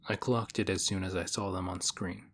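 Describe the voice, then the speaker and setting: A man playing a stoic young nerd, reserved and quietly affectionate, speaking in deadpan, measured phrases in an intimate audio roleplay. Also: low voice, slight background noise. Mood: dry, analytical.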